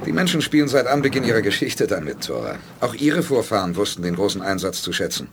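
An actor playing a resolute, calm spaceship commander who gives precise orders in a 1980s radio play. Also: male voice, lowish voice, quick pace, vocal fry, slight background noise. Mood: calm authority.

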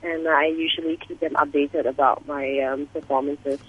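Husky woman on a muffled phone line. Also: low voice, flat delivery. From Singapore. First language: English. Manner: halting, laughs often. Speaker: singer-songwriter guest. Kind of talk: radio interview by phone.

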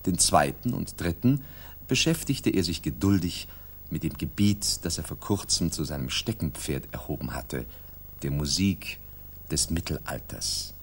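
An actor playing a composed gentleman narrator, telling a detective story in measured, literary fashion in a 1960s radio play. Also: very deep voice, lively intonation, breathy edge, full character voice. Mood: measured, atmospheric.

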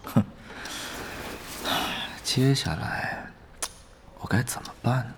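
An actor playing an exasperated, excitable young male guild leader in an audio drama, grumbling and easily flustered. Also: mid-range voice, slow, noisy background. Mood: brooding. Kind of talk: audio drama acting, dialogue and inner monologue. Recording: music bed under dialogue.

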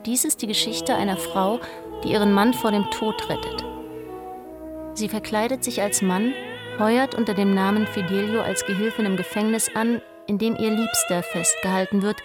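A woman narrates a story from a script in a mid-pitched voice. Orchestral opera music with horns plays clearly behind her. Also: lively intonation, normal speed.

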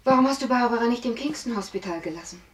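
An actress playing a brisk, questioning female assistant in a radio drama. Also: on the high side, animated, reverberant, a little hiss or hum, close to an everyday voice, rough recording. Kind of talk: 1970s German radio drama acting.

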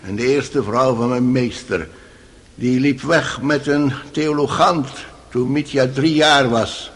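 An actor playing a dignified, stubborn old house servant in a radio drama, plain-spoken, folksy and respectful. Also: male voice, medium pitch, takes it slowly. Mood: calm, devoted. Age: older.

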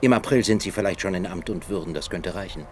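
A man playing a defiant newspaperman in a radio play. His voice is very low, dry and curt, with faint ambience behind. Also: fast, darkish tone, slight background noise, natural voice. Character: curt, defiant journalist bargaining with a statesman. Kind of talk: radio drama acting.

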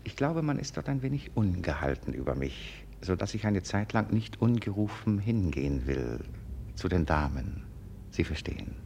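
Deep gravelly, agitated schemer. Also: male voice, big pitch swings, darkish tone, exaggerated voice. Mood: furtive, awkward. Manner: tense, halting, insistent. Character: agitated, scheming, irritable male secretary. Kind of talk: 1950s German radio drama acting. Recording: noisy 1950s radio play recording.

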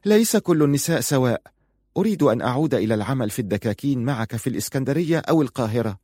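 A man's voice: on the high side, animated, fairly quick, a little mellow, dry recording, clean studio sound, pitched above the performer's own voice. A man voicing a passionate, pleading and defiant young wife in audiobook dialogue, insistent and emotional. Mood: angry. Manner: insistent, emotional, bitter at times.

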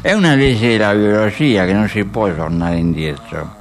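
An actor playing a solemnly absurd, absent-minded philosopher musing haltingly on the radio. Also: male voice, fairly low pitch, soft background music, lightly acted. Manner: halting, musing, seeks agreement. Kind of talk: mock-philosophical radio monologue.

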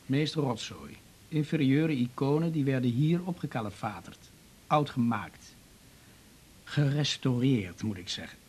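An actor playing a matter-of-fact police detective who explains things methodically in a radio drama. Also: male voice, medium pitch, expressive, unhurried, a little mellow, breathy edge. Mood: official, explanatory. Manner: methodical, official. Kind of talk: Dutch radio drama acting.

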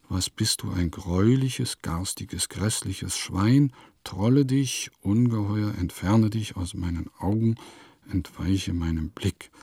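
A man's voice: lowish voice, mellow, husky, no echo, studio quality, heavily acted. An actor playing an eccentric composer who muses in clipped notes and declaims his verses in a radio play. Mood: haughty, indignant.

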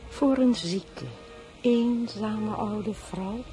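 A woman's voice: mid-range voice, extremely slow, mellow, soft background music. A woman playing a lonely, imperious old dowager queen in a radio drama, stately and probing. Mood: cold, probing.

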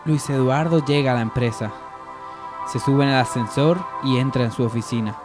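A man's voice: mid-range voice, normal speed. Male radio soap narrator, music behind.

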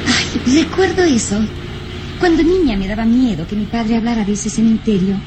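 A woman's voice: a little high, normal speed, close to an everyday voice. A woman playing a coquettish, sweet young lady in an old radio serial. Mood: uneasy. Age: young adult. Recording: slightly noisy old radio recording.

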